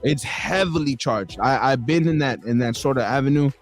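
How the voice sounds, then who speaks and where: A man's voice: mid-range voice, normal speed, soft background music. Rambling man hosting a podcast. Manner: rambling, many restarts, calls people bro. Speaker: podcast host. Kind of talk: casual interview.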